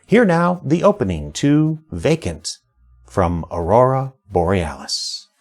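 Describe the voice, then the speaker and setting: A man's voice: medium pitch, very animated, slow, darkish tone. A man giving a scripted introduction as an audio drama writer and producer presenting his work.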